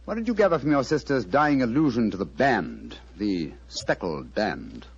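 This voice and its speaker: An urbane, unflappable British gentleman sleuth for an old radio play. He is dryly ironic and precise, with lively swoops of pitch and a husky edge. The recording sounds dull and dated. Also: normal speed, full character voice. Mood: brisk, inquiring. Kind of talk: old-time radio drama acting.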